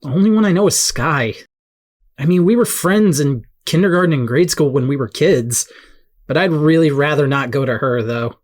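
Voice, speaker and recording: A man's voice: on the high side, normal speed, close to an everyday voice. A young man playing a polite, reluctant, down-to-earth teenage boy in audio drama dialogue.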